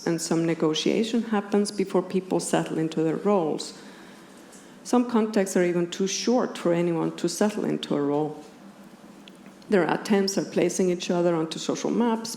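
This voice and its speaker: A woman from Iceland with an Icelandic accent, a native speaker of Icelandic, giving a measured, precise academic lecture at a conference. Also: fairly low pitch, mellow. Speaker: academic philosopher presenting at a conference. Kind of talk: academic lecture, partly scripted. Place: indoor conference room with audience. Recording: roomy conference hall, clearly audible background noise.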